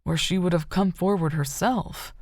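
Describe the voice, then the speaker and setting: A woman audiobook narrator voicing a gentle, considerate man who speaks with care. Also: lowish voice, moderate pace, dry recording, studio quality, close to an everyday voice. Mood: gentle, curious. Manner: gentle, careful.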